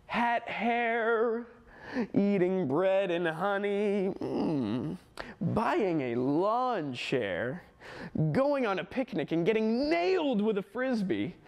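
A man reading a poem aloud with deliberate phrasing and pauses. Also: high-pitched. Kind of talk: dramatic poetry reading.

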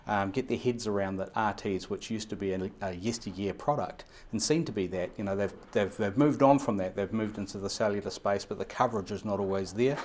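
A man's voice: fairly low pitch, darkish tone. A man in his fifties with a New Zealand accent, giving long, run-on answers full of fillers and restarts in an interview, recorded on a lapel mic in a showroom. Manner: fast, run-on explanations with many fillers and restarts. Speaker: radio equipment supplier. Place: indoor showroom.

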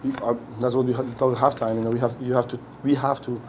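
A middle-aged man speaks as a head coach at a press conference podium, his voice dark and mellow. He talks haltingly, restarting phrases as he hunts for words. Faint background noise sits under the podium mic. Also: medium pitch, flat delivery. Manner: halting, many restarts while searching for words. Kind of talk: post-game press conference answers. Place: indoor press room. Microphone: podium mic on a stand.

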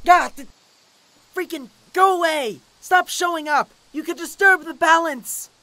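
A woman's voice: extremely high pitch, unhurried, pushed and bright, dry recording, heavily acted, low-quality recording. A woman voicing an irritable, ranting young pony in a parody cartoon, sarcastic and exasperated.

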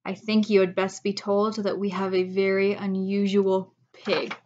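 A woman's voice: a little high, animated, normal speed, bright, forceful tone, dull audio, a little hiss or hum. A woman voicing a middle-aged farmer husband from a storybook, earnest and awestruck, solemn and insistent in his emphasis. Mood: solemn, grave.

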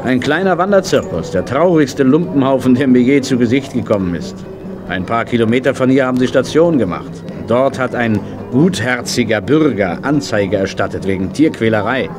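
Actor as an animated country vet, standard German. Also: male voice, mid-range voice, moderate pace, music in the background, natural voice. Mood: earnest storytelling. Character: jovial, soft-hearted country veterinarian. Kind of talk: children's radio play acting.

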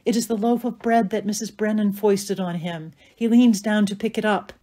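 A woman narrating stage directions from a script in a measured, matter-of-fact way. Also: mid-range voice, steady tone, warm tone.

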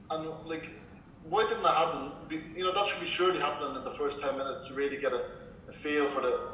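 A male reporter puts a probing question to a manager, his voice a bit high. He sounds distant from the mic, in an echoey room. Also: fairly bright tone, a little hiss or hum. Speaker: journalist. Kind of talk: asking a question at a press conference.

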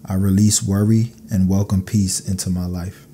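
A man narrating scripted sleep meditation affirmations in a calm, soothing, measured way. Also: sits low, a little hiss or hum.

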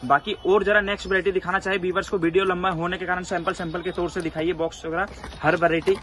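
A man vlogging from an outdoor wholesale market, excitedly quizzing a shopkeeper about prices and repeating them for viewers. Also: high-pitched, fast. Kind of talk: upbeat wholesale market vlog.

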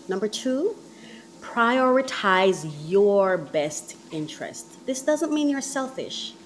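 A woman hosting a podcast, casually sharing encouraging tips while walking on a treadmill indoors, repeating her points gently. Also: fairly low pitch, slight background noise, poor audio. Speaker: podcast host.

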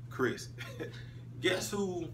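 A man in his thirties hosting a casual flag football league recap, talking emphatically and swinging between loud call-outs and low asides. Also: expressive, very slow. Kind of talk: casual sports recap monologue. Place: small indoor room, in front of a wall poster. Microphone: phone camera at arm's length. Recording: phone audio, a bit distant, faint hum.

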